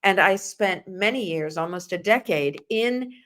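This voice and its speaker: A woman's voice: mid-range voice, lively intonation, unhurried, a bit bright. Middle-aged female host, earnest and emphatic, telling personal anecdotes in a conversational interview. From Russia. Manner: earnest, emphatic, addresses listeners directly. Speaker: host of a nursing and wellness talk show.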